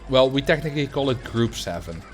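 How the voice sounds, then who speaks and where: A young man with a Dutch accent chatting casually on a livestream, relaxed and rambling as he answers chat while playing a building game. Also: mid-range voice, brisk, faint music behind. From Netherlands. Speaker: streamer.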